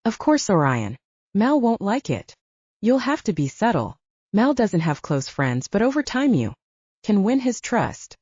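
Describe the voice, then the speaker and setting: A woman's voice: medium pitch, sing-song, unhurried, darkish tone, breathy edge, no room sound, highs cut off. A woman voicing a tired, loyal male subordinate in an audiobook, earnest and resentful as he speaks. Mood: reluctant, earnest.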